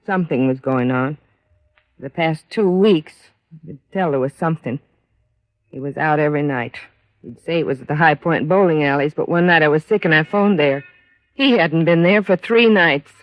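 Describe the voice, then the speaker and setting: An actress playing a bitter, grieving American widow and mother in an old radio crime drama, speaking plainly in weary, halting phrases. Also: sits low, dry recording, lightly acted. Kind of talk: 1950s radio drama acting. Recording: muffled vintage radio recording.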